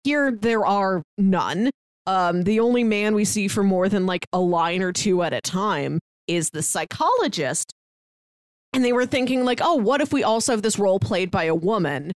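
A woman's voice: medium pitch, no echo. A woman co-hosting a podcast, chatting casually about films and sharing trivia. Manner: explains with trivia, quick asides. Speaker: podcast co-host. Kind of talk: casual movie-review chat.

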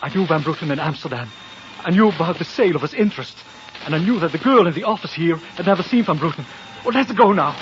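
Actor as high-voiced, frightened crook. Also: male voice, lively intonation, quick pace, lightly acted. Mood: confessing, anxious. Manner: stilted non-native phrasing, anxious. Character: frightened foreign male swindler, pleading and cornered. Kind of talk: 1940s radio drama acting. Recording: muffled, noisy old radio recording.

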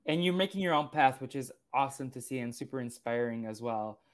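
A man's voice: medium pitch, lively intonation, a little fast. A man hosting a podcast interview, talking casually and eagerly with many filler words. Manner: casual, frequent "like" fillers, enthusiastic. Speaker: podcast host.

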